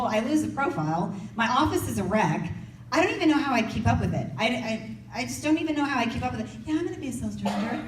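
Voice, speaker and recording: A woman giving a motivational goal-setting workshop, lively and playful, acting out inner doubts. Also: on the high side, slight background noise. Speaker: workshop speaker. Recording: echoey room, voice from a distance.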